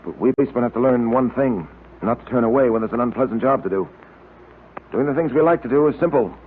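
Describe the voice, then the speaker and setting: An actor playing a calm, resolute police detective in 1930s radio drama, earnest and commanding. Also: male voice, mid-range voice, quick pace, natural voice. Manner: steady, earnest, moralizing, commanding. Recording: noisy, tinny vintage 1930s radio recording.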